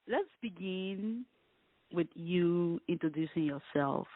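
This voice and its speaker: Host: woman from Liberia, lowish voice, chatty and opinionated, heard over a dry, phone-like call line. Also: slow, dry recording. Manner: chatty, opinionated, lively emphasis. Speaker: interview host. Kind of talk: casual interview.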